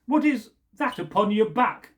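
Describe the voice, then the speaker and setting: Man reading as a false knight, menacing, slow questioning, fairly high voice. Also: animated.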